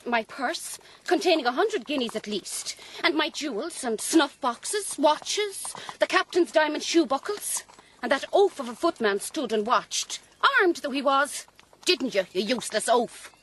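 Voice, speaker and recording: A haughty, flattering Irish lady for a radio play. Her voice is high and swoops freely from phrase to phrase, effusive and theatrical. There is clear noise behind her. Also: measured pace, poor audio. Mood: indignant, distressed. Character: gushing, flattering swindler wife posing as a grand lady. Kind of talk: radio drama acting.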